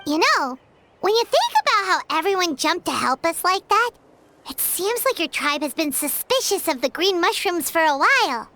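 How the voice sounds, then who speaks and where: A woman voicing a small, childlike, excitable and cheeky fairy companion in a video game, with a squeaky voice. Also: moderate pace, heavily acted. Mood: curious. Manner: excitable, refers to self in third person. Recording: game music behind the voice.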